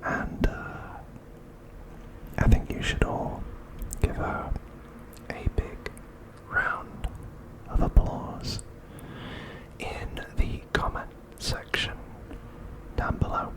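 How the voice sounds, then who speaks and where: Man, ASMR host, whispered voice, hushed and deliberate, extremely unhurried pace. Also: low-quality recording. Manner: hushed, slow, deliberate delivery.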